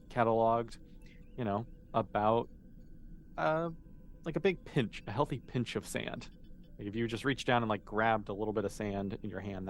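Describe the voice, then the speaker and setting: A man's voice: mid-range voice, gravelly, slight background noise. A man running a tabletop role-playing game as game master, explaining things in a casual, encouraging way.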